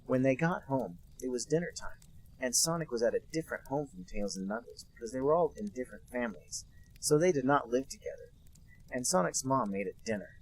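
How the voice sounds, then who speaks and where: A man co-hosting a podcast, reading a bad fan story aloud in a deadpan, mock-serious way. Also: mid-range voice, a little fast, low-quality recording. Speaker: podcast co-host reading a fanfic. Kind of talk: comic dramatic reading.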